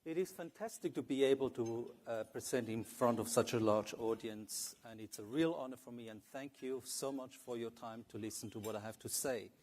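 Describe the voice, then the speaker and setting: A man's voice: medium pitch, moderate pace, few pauses, darkish tone, a faint crowd, slight background noise. A man in his forties with an Italian accent, a portfolio manager giving a formal conference presentation with light touches of humor. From Italy and Switzerland. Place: conference stage.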